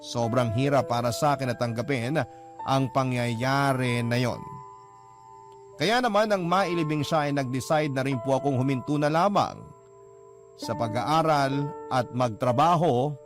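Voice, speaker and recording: A man reads a listener's heartfelt letter aloud in radio-drama style, his voice mid-pitched. The reading is earnest and solemn. Guitar music plays clearly underneath. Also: unhurried.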